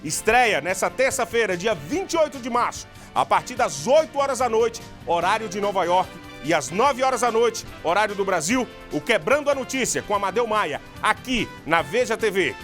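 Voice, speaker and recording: A man's voice: sits high, lively intonation, fairly quick, a bit bright, faint music behind. Upbeat male promo announcer. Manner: upbeat, punchy announcer delivery. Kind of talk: energetic broadcast promo read.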